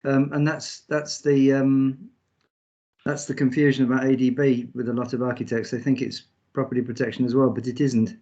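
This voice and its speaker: A man lays out precise technical points in an online meeting. He restarts his sentences often while he works through them. His mid-pitched voice comes through dull video-call audio. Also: flat delivery, moderate pace, mellow. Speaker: meeting participant.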